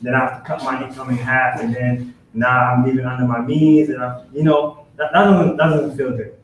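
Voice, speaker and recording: A man's voice: medium pitch, fairly even intonation, a little fast, a little hiss or hum. A young man, a grad student, speaking animatedly at a business meeting, laughing and often asking "right?". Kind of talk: testimonial talk at a business meeting. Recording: echoey hall from far off.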